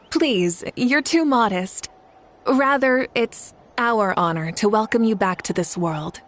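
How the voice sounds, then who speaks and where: A woman voicing a composed, gracious warrior leader in a video game, speaking in a calm, measured, courteous way. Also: fairly high pitch, slight background noise. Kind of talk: video game character acting. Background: music under the voice.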